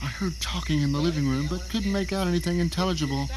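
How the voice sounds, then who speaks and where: A man acts out a captive male novelist in an audio drama, recounting his ordeal in a theatrical, exclamatory way. He sounds panicked and indignant. The track is clearly noisy, with insect-like chirping behind the voice. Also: on the high side, fast talker, close to an everyday voice. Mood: tense recounting. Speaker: narrator and lead actor. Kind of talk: dramatic first-person audio drama narration.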